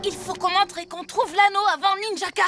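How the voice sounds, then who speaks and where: A woman's voice: extremely high pitch, steady tone, brisk, background noise. A woman playing a determined, sensible child hero in a French children's cartoon, decisive and earnest. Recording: loud music under the voice.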